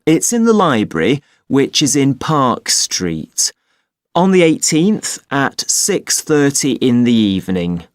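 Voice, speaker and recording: A man playing a patient, polite festival information officer in an acted listening exercise, giving details in a measured, helpful way. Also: medium pitch, big pitch swings, no echo, studio quality. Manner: measured, helpful, spells words out slowly. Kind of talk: acted listening-test dialogue, clearly enunciated.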